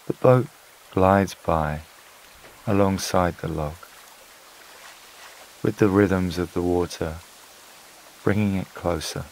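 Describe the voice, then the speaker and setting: A man narrating a scripted sleep meditation in a calm, soothing, unhurried way, with long pauses between phrases. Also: low voice, a little hiss or hum, poor audio. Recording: water and rain sounds behind voice. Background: flowing stream, rain-like ambience.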